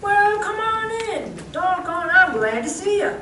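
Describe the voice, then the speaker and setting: A woman's voice: very high voice, very animated, unhurried, exaggerated voice. A woman playing a folksy, hearty male ranch hand with a western drawl in an old-time radio show. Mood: hearty, welcoming. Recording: echoey room from far off, faint noise.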